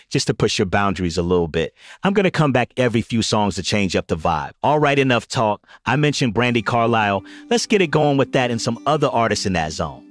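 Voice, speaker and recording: A man's voice: medium pitch, no echo, studio quality. A man's voice in the role of a music DJ, giving friendly, laid-back radio-style banter.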